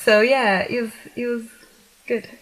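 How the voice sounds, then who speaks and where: A woman's voice: on the high side, fairly even intonation, measured pace, low-quality recording. A young woman from Kenya chatting casually as an interview guest, telling anecdotes and acting out little quotes. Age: young adult. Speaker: interview guest, pageant queen. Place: TV talk show studio, indoors on a sofa.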